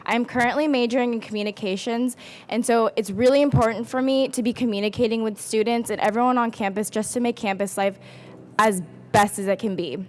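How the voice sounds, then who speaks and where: A woman's voice: on the high side, steady tone, brisk. A young woman, a student candidate, gives a chatty, upbeat campaign speech. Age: young adult.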